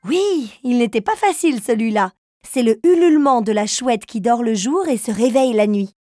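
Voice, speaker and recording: A woman's voice: fairly high pitch, lively intonation, moderate pace, mellow, dry recording, clean studio sound, close to an everyday voice. A woman playing a kind, teacherly grown-up who encourages small children through riddles in a cartoon. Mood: encouraging.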